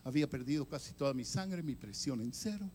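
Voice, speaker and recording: An older man, an evangelist, telling his own story in a sermon, swinging from loud emphatic bursts to hushed near-whispers. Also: medium pitch, lively intonation, brisk, slight background noise. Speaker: evangelist preacher. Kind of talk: sermon testimony.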